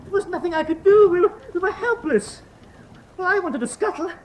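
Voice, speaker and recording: A cowering German submarine officer in a radio drama. His very high voice stammers and pleads defensively, over faint noise. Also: lively intonation, fairly quick. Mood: pleading. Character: panicked, cowering male German U-boat officer under interrogation.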